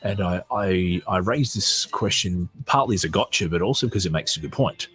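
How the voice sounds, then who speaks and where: A man with an Australian accent and a husky voice, hosting a podcast, reading aloud and commenting off the cuff in a conversational way. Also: low voice, animated, normal speed, a bit bright. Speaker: podcast host.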